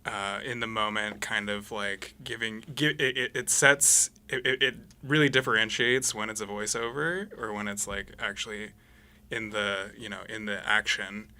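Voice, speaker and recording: Very bright, forceful man speaking haltingly. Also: fairly low pitch, steady tone. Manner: halting, searching for words. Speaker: musician guest, saxophonist for a radio play. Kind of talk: casual interview chat. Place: radio studio.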